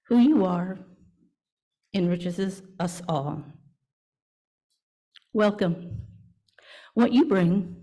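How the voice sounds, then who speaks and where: A woman leading a church service, reading a welcome and announcements to the congregation in measured, deliberate phrases with pauses. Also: medium pitch, dark tone. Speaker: worship leader at a church service.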